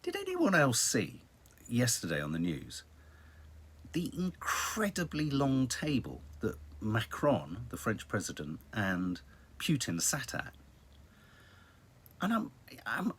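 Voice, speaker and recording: A man's voice: medium pitch, a bit bright, slightly rough. A British man hosting a casual live stream, chatting about the news in a rambling, wry way with dramatic emphasis. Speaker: online live-stream host. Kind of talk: casual news chat to viewers.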